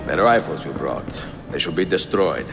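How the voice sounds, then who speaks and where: Deep-voiced Italian master assassin. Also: male voice, animated, moderate pace. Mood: resolute. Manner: terse, dry, confident. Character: self-assured male master assassin, wry and unflinching. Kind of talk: video game cutscene acting. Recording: loud music behind the voice.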